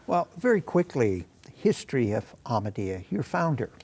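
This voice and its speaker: A man's voice: mid-range voice, sing-song, moderate pace, darkish tone. A man hosting an interview, opening with a scripted introduction and then asking polite, curious questions. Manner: courteous, inquisitive. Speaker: interview host.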